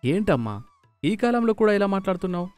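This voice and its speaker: A man's voice: high-pitched, big pitch swings, fast talker, a little mellow, pitched above the performer's own voice. A man voicing a good-natured, joking grown son in a cartoon moral story. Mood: disapproving. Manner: joking, mocking. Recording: music behind the voice.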